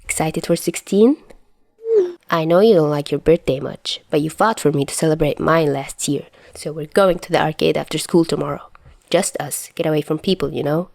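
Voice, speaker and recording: A woman's voice: low-pitched, moderate pace, gravelly, darkish tone, no room sound. A woman voicing a playful, teasing but caring teenage boy in a story reading. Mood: reassuring.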